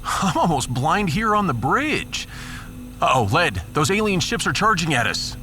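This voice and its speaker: Man playing a lively, wry starship captain. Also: fairly high pitch, a little slow, soft background music, voice pushed up, exaggerated voice. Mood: commanding. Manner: wry, earnest. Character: male starship captain, wry, resolute and hopeful. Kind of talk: sci-fi audio drama acting.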